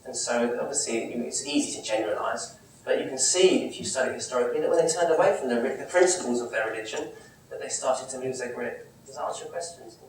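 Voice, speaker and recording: A man in his thirties from Kent with a southern English accent, answering audience questions after a talk in a lively, conversational way. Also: mid-range voice, fast, a little hiss or hum. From England, Kent. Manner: animated, gestures a lot, restarts phrases. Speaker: Islamic speaker answering questions. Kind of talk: lecture Q&A, conversational. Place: lecture room, indoors. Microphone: far camera mic. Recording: echoey room from far off.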